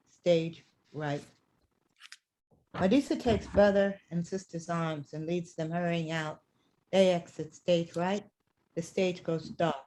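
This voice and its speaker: A woman's voice: on the low side, mellow, a little hiss or hum, poor audio. A woman reading stage directions as scripted narration for a play.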